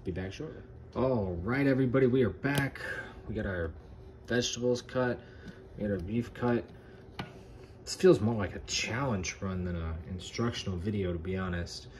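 Young guy, really low voice, chatting loosely while cooking at home, noisy kitchen audio. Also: moderate pace. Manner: relaxed, rambling, many fillers. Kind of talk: casual cooking commentary.

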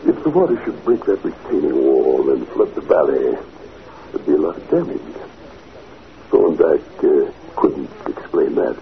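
An actor playing a pompous, bullying, scheming senator in a 1940s radio drama. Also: male voice, very deep voice, sing-song, a little slow, gravelly, full character voice. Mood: conspiratorial. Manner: pompous, bullying, stammers when cornered. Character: blustering, scheming senator, irritable and self-serving. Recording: noisy, tinny 1940s radio recording.

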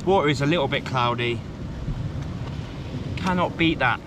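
A man's voice: mid-range voice, normal speed. A man talking casually and enthusiastically in slang as a fishing vlogger, recorded outdoors on a rainy seashore with waves behind him. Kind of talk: casual vlog commentary to camera. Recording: loud surf, wind and rain noise. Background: waves and surf.

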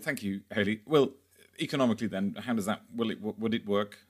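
A man's voice: lowish voice, fast, crowd noise. A man hosting a podcast discussion, hesitant, with repeated words and fillers. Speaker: podcast host.

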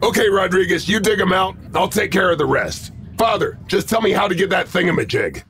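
Casting: cocky quipping shooter-game hero, flippant, creaky but lively and fairly high. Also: male voice, brisk, a little hiss or hum. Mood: breezy, flippant. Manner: sardonic, one-liners. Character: cocky wisecracking action hero, tough and flippant. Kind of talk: video game cutscene acting.

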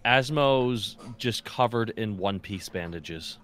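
A man's voice: lowish voice, animated, slow pace, fairly bright tone. A man playing a tabletop game, chatting casually and jokingly about his character's actions. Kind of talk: casual, jokey in-game chat.

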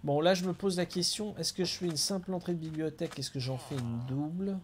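A man's voice: a little high, fairly quick, background noise. A middle-aged man chatting casually as he streams a building game, thinking aloud and chuckling often. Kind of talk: casual gameplay commentary.

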